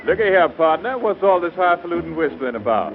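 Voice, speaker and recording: A man's voice: fairly high pitch, big pitch swings, a little fast, music in the background. A man playing a folksy, curious American cowboy sidekick in a 1930s western record skit. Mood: nosy, puzzled. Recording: tinny, noisy 1930s record over radio.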